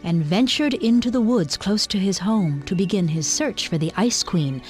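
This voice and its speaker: A woman's voice: mid-range voice, animated, normal speed, soft background music. A woman narrating a scripted fairy tale in a dramatic storytelling manner.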